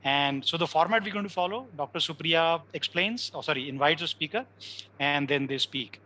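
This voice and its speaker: A man's voice: a little high, moderate pace, sharp tone, low-quality recording. A man with an Indian English accent hosting an online event, handing over to his co-host with some hesitation. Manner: hesitant, many uh fillers. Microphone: video call.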